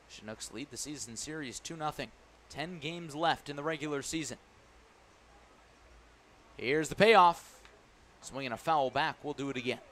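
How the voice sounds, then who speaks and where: A man calling a baseball game live on radio as the play-by-play announcer, describing each pitch in detail. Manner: descriptive, excited calls rising on big plays. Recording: clear background noise at ballpark. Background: ballpark ambience.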